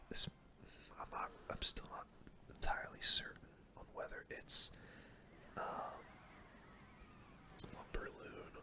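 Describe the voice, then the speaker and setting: A person giving casual, slangy gaming commentary in a soft, breathy ASMR whisper, close to the microphone. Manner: casual slangy gamer talk, reacting to play. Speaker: gaming video host.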